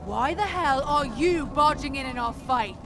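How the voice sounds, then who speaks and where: A woman's voice: high-pitched, animated, a little slow. A woman playing a tough, impatient gang fighter in a game cutscene, sarcastic and confrontational. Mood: defiant. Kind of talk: video game cutscene acting. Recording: game audio with loud music.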